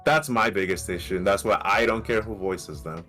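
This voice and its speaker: Low-voiced man with a light Mexican accent. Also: normal speed, soft background music. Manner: animated, many restarts and rhetorical "right?". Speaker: podcast co-host. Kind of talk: casual debate.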